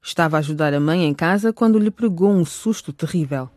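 A man with a high-pitched voice narrates a scripted radio drama. The recording is dry, with no room sound. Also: a little fast, a little mellow, dry recording.

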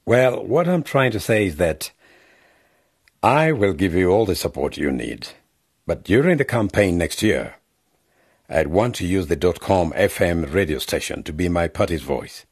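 A condescending, bribe-offering politician in a radio drama. His voice sits very low with a husky grain and a lively, swooping delivery. It is recorded close and dry. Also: unhurried, no room sound, exaggerated voice. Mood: persuasive. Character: scheming middle-aged male politician offering bribes. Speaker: member of parliament.

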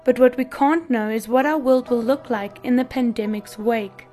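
A woman's voice: fairly high pitch, normal speed, dark tone. A woman presenting a scripted introduction to a podcast series. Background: music.

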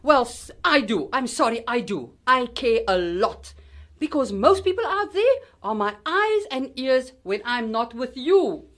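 Radio drama mom played by a woman: harried, fussing, Cape Town accent, high and highly animated voice. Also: unhurried. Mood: stern, exasperated. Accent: South African, Cape Town. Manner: exasperated, fussing. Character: harried, strict, fretful middle-aged mother. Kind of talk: amateur radio drama acting.

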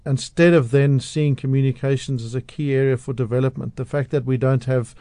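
A man's voice: medium pitch, fairly even intonation, fairly quick, mellow, no room sound. A man from South Africa speaking as a guest on a radio show, outspoken and passionate, often restarting his sentences. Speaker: radio guest, media analyst. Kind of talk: radio interview. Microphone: studio microphone.

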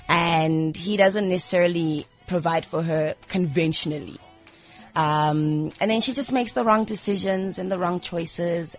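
A woman from South Africa, an actress guest on a radio show, speaking hesitantly with many ums. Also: sits low. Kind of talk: radio interview. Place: radio studio.

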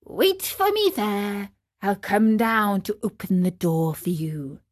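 A woman voicing a calm, peaceful female snail who is serenely unhelpful, in a children's storybook. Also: mid-range voice. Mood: placid. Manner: calm, peaceful, slow, deliberate.

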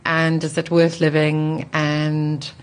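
A woman in her sixties with a low voice speaks as an interview guest. She tells personal stories in an articulate, reflective way, pausing to think as she goes. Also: monotone. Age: 60s.

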